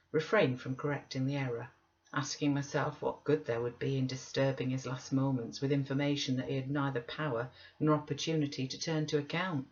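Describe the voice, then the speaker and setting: A woman's voice: a bass voice, dull audio. A woman narrating a literary audiobook from a script. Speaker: audiobook reader.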